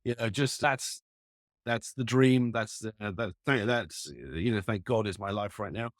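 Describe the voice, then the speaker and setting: Male actor guest from Ireland, raised in London; relaxed, halting chat, dry mic. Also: on the low side, dry recording. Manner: easygoing, hesitant with restarts. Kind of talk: casual interview chat.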